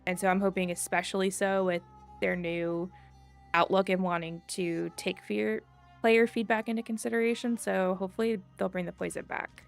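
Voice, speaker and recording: A woman's voice: mid-range voice, fairly even intonation, normal speed, gravelly. A woman streaming a game, chatting casually and rambling a little as she reads and answers viewer comments. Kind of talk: casual live gameplay chat, reading viewer comments. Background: faint game music.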